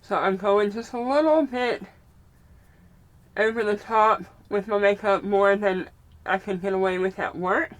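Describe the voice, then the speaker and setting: A woman with a strong Mississippi Southern accent talks through her makeup routine in a loose, rambling way. Her speech impediment is plain to hear. Her voice sits at an everyday, middle pitch, and she often trails off while hunting for the right word. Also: unhurried. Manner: rambling, searching for words. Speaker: vlogger. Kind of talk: casual get-ready-with-me chat.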